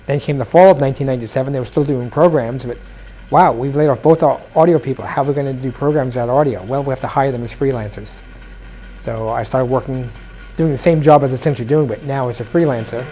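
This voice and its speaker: An older man from New York City, a freelance audio engineer, talking in a relaxed, reflective way in a documentary interview, sitting in a living room. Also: medium pitch, soft background music, poor audio. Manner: relaxed, reflective, with pauses and fillers.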